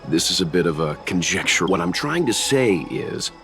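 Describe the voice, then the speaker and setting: An actor playing a calm, analytical investigator in a video game, measured, polite and patient. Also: male voice, sits low, soft background music. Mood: patient reasoning. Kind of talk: video game character acting.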